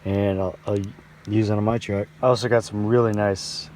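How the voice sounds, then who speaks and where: A young man rambling casually for a vlog in a halting, meandering way that often trails off. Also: lowish voice, little pitch movement. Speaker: vlogger. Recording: loud vehicle and outdoor noise.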